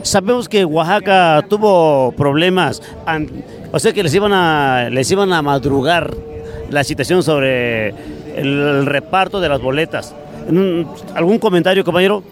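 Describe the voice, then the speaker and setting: A man with a Mexican accent interviewing protesters for a community radio station, pausing often with "este". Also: fairly high pitch, noisy background. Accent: Mexican Spanish. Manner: halting, with repeated "este" fillers. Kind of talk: street interview.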